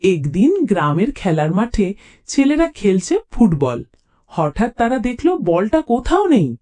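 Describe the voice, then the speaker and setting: Slow, expressive children's storyteller. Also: medium pitch. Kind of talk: scripted narration of funny children's stories.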